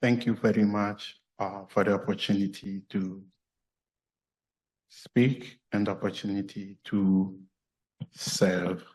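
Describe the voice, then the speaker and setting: A man from Ghana with a Ghanaian accent, a clergyman giving a measured, earnest formal address at a church meeting. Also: lowish voice, steady tone, a little mellow, low-quality recording.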